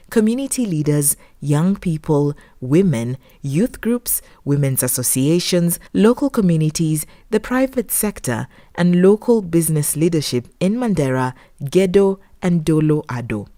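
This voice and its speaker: Low, mellow woman narrator, close and dry. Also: expressive, moderate pace, hardly any pauses, dry recording. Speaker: documentary narrator. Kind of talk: scripted narration.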